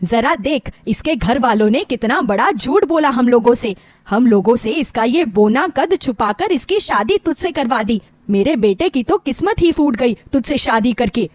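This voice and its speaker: Woman acting a high-voiced, scolding mother-in-law. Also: expressive, moderate pace, no echo, studio quality. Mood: bitter, accusing. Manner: sharp, nagging, indignant. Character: scolding, bitter mother-in-law, older Indian housewife.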